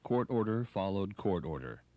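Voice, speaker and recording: A man with a fairly low voice delivers scripted documentary narration. The recording is dry and close, with hardly any room sound around his words. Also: very animated, moderate pace, dry recording, muffled recording.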